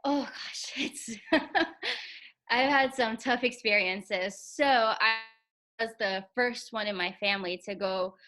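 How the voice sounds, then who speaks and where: A woman's voice: a little high, slow pace, bright, forceful tone. A young woman from Egypt, a chiropractor guest, talking candidly about her studies and laughing often. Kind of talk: casual interview conversation.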